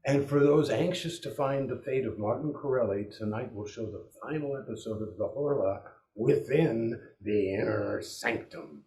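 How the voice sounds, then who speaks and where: A man's voice: medium pitch, moderate pace, dark tone. A man co-hosting a radio variety show with theatrical, showmanlike scripted announcing in a 1940s style. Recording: echoing room.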